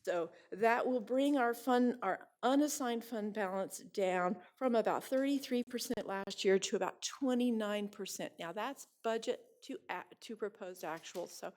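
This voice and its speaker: A woman's voice: medium pitch, unhurried, few pauses, darkish tone. Woman walking a board through budget figures. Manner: plain, explanatory, hedging estimates. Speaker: district finance officer. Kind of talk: budget presentation. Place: board meeting room.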